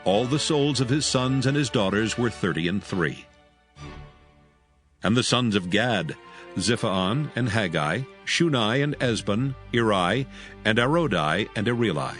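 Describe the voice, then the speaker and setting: A man with a lowish voice reads scripture as a narrator. His delivery is formal storytelling, with music clearly playing underneath. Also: animated, unhurried, a bit bright.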